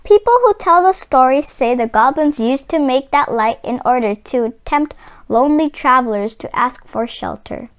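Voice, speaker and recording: A woman reading a children's story aloud as a host, in an expressive, dramatic way, drawing out words for emphasis. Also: sits high, moderate pace, clean tone.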